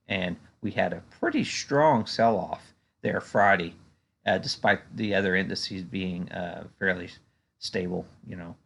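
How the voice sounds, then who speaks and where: A man giving unscripted stock market commentary in a conversational, halting way, often padding with "you know". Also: sits low, vocal fry, fairly bright tone, husky. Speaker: stock market analyst and video host.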